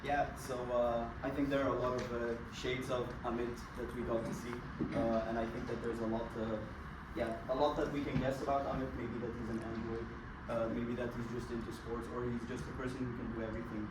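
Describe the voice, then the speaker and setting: A man gives a rambling tribute speech, restarting his phrases again and again. His voice is flat and monotone. He is picked up from a distance in a reverberant hall with heavy background noise. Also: medium pitch, a little fast, hardly any pauses. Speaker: speaker introducing guests. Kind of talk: informal ceremony speech. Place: ceremony hall. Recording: echoey hall, far from the mic, loud room noise.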